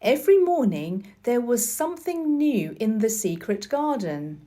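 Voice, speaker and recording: Female children's story narrator, higher-pitched voice, expressive and unhurried read-aloud. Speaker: storybook reader.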